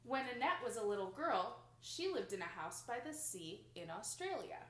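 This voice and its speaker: A young woman reads a children's picture book aloud with expressive, dramatic emphasis, recorded in a small room indoors. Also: mid-range voice, normal speed, a little hiss or hum. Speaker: storybook reader. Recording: roomy home recording.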